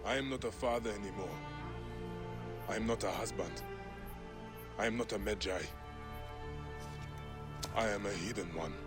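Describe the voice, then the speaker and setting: An actor playing a grim, weary middle-aged warrior in a video game, speaking low and resolute. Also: male voice, moderate pace, pauses often, lightly acted. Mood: solemn. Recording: game audio over loud music.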